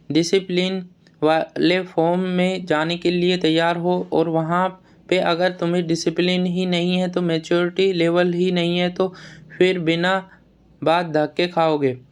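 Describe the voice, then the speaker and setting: A man's voice: sits high, clean tone. A man giving an informal, explanatory update to students in a plain-spoken, admonishing way, often repeating words and phrases. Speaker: exam news vlogger advising job aspirants.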